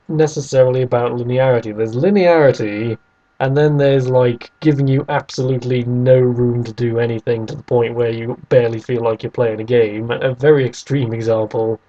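A man's voice: mid-range voice, dark tone, dull audio. A man co-hosting a podcast, casually arguing about video games and restating his points over an internet call. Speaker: podcast co-host.

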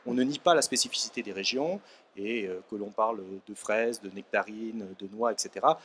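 A man speaking as a panel guest at a trade fair interview, measured and halting, often pausing on fillers, into a handheld mic. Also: lowish voice, a bit bright, slight background noise. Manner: measured, hesitant with many fillers. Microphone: handheld microphone.

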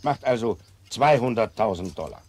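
An actor playing an officious, smug, probing tax assessor in a 1970s German radio play. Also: male voice, fairly low pitch, expressive, moderate pace, darkish tone. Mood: calculating, smug.

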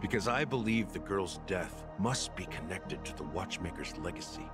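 A male actor playing a weary, remorseful middle-aged officer in a video game, speaking slowly and broodingly with heavy pauses. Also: deep voice, lively intonation, music in the background. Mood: weary, sincere. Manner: slow, brooding, measured delivery with heavy pauses. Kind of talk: scripted video game dialogue.

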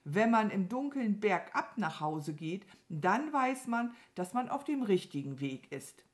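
A woman voicing a gentle, affectionate, dreamy cow in a children's storybook, with a mild, mooing manner. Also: mid-range voice, big pitch swings, a little slow, voice pushed up. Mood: calm.

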